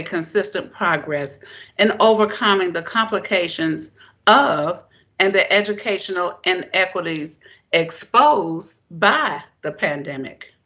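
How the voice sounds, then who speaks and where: A woman giving a formal prepared keynote speech as a presenter, in deliberate, emphatic, measured phrases. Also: medium pitch, a bit bright, highs cut off. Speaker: presenter, education keynote speaker.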